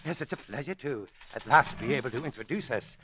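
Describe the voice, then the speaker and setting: Through a faintly noisy old radio recording comes a crazed villain with a sharp, high voice. He gloats with theatrical menace, swinging widely from hushed purr to frenzy, like a megalomaniac in a vintage crime serial. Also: expressive, fairly quick. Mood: gloating menace. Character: gloating, unhinged villain, megalomaniac animal trainer. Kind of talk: old-time radio crime drama acting.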